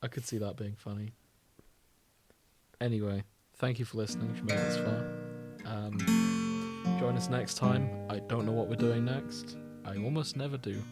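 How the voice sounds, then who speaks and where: A man's voice: lowish voice, steady tone, normal speed, over loud music. A British man hosting a casual podcast, chatty and a little self-deprecating. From Britain. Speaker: podcast host.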